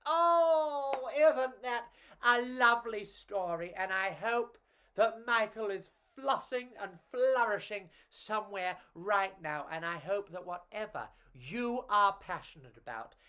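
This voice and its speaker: A woman's voice: medium pitch, animated, slow, fairly bright tone. A woman reading and chatting to children as a storyteller, in a theatrical, gushing and affectionate way.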